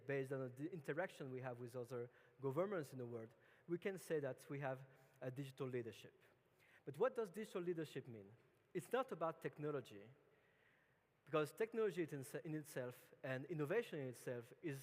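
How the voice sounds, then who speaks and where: A man from France with a French accent, giving a relaxed, chatty conference talk with many fillers and restarts. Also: on the high side. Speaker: conference speaker. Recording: long hall reverb.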